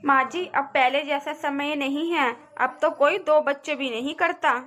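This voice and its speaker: A young cartoon daughter-in-law, played by a woman, speaking in a clearly high voice. She is respectful and earnest, pleading her case with a worried air. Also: fairly even intonation, measured pace, fairly bright tone. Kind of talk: cartoon family drama acting.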